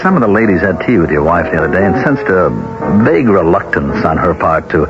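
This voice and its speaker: A lowish-voiced American man speaks with pompous, formal authority and quiet menace. Music plays behind him on a dull-sounding 1970s radio drama recording. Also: lively intonation, quick pace. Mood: politely disapproving. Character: stern, authoritarian town elder, smoothly menacing. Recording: band-limited radio broadcast, music behind.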